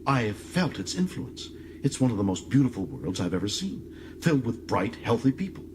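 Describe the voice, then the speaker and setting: An actor playing a resolute middle-aged starship captain in an audiobook, questioning in an earnest, emphatic way. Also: male voice, on the low side, big pitch swings, brisk, background noise. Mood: earnest, disbelieving.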